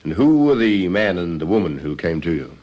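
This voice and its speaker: An actor playing a methodical, probing police detective, formal and measured, in an old-fashioned radio drama. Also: male voice, low voice, big pitch swings, highs cut off.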